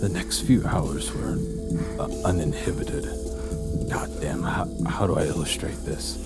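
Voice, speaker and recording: Man acting a very deep, gravelly brooding seducer. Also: a little slow. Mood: dazed, wistful. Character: doomed callous male seducer recounting a dark tryst. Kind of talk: brooding first-person horror audio drama narration. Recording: prominent music under the voice.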